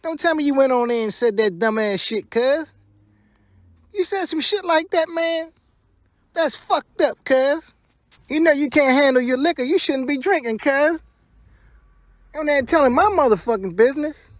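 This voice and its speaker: A man's voice: extremely high pitch, expressive, measured pace, long pauses, dry recording, studio quality, voice pushed up. A man voicing an exasperated rapper scolding his friend with incredulous, profane slang.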